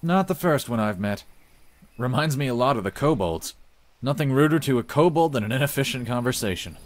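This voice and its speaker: A man's voice: mid-range voice, lively intonation, a little fast, slight background noise, close to an everyday voice. A man playing a dry, matter-of-fact, well-travelled village inventor in a visual novel. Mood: dry, unbothered. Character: dry, worldly village man, self-taught showman inventor.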